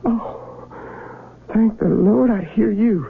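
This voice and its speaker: An actor playing a nervous, polite young Civil War soldier in a radio drama, with a creaky American voice and folksy, halting, stammering speech. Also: male voice, sits high, a little hiss or hum, exaggerated voice. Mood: anxious, puzzled. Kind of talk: 1970s radio drama acting. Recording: narrow, tinny vintage radio broadcast, music behind.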